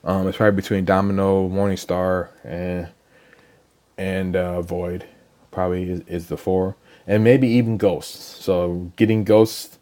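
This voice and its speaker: Young male gaming vlogger, deep mellow voice, hoarse with a cold, halting and rambling. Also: little pitch movement. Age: young adult. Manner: rambling, halting, many ums and repeated words. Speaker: gaming channel host. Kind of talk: casual game account walkthrough.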